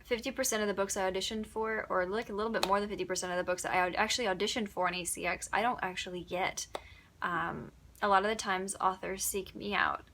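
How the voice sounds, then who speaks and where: Woman, young adult, from upstate New York, narrator answering questions, giggly, ums, bright voice, mid pitch. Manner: chatty, giggly, many ums. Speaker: audiobook narrator. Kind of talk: casual Q&A answers.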